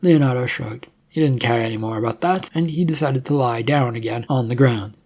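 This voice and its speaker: Husky-voiced story narrator. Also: mid-range voice, a little fast, darkish tone. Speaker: storyteller reading own serial fiction.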